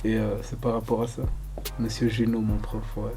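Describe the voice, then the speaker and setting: A young man from Congo answering interview questions casually, loose and relaxed, with lots of fillers. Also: fairly low pitch, normal speed, music in the background. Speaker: rapper interviewee.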